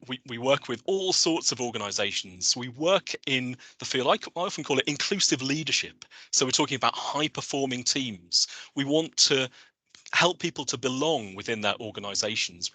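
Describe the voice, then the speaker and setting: A man presents a coaching webinar over a video call. His delivery is animated and eager, with lots of restarts, and his bright, forceful voice swings widely in pitch. The sound is dry and close. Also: dry recording. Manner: enthusiastic, animated, with frequent restarts.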